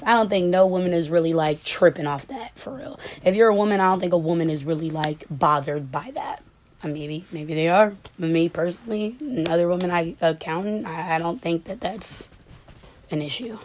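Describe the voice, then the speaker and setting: Low-pitched woman talking slangy and casual. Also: brisk, darkish tone, slight background noise. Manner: slangy, informal. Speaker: interviewee. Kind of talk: casual chat.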